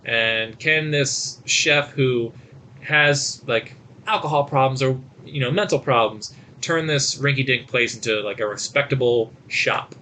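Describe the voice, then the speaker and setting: A man with a bright, crisp-edged voice co-hosts a podcast. He talks in an informal, conversational way while giving opinions. There is faint noise and ambience behind him. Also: medium pitch, a little slow, poor audio. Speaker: podcast co-host reviewing movies and shows. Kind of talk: casual chat.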